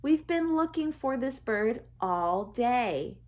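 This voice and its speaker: A woman's voice: a little high, sing-song, takes it slowly. A woman voicing a friendly, helpful female zookeeper in a children's story, speaking emphatically. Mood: pleased.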